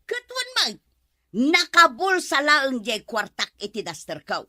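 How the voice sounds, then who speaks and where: Babaeng gumaganap na matinis at mapaghinalang asawang mabunganga. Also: female voice, high-pitched, wildly swooping pitch, a little slow, sharp tone, dry recording, studio quality, exaggerated voice. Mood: scolding. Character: nagging, suspicious village wife guarding her money. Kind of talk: comedy radio drama acting.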